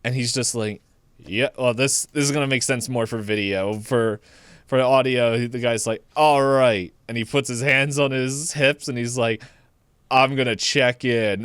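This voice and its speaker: Clear-voiced man in jokey podcast chat. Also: mid-range voice, fairly even intonation, normal speed, a bit bright, no echo. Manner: jokey, laughs through his own telling. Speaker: podcast co-host. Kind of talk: casual movie chat, retelling scenes.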